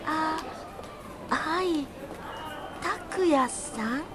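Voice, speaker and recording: A woman playing a shy, polite young female student in a radio drama, speaking gently and a little hesitantly. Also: sits high, expressive, drawn-out words, heavily acted. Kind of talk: Japanese radio drama acting. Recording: clear background noise, outdoor ambience.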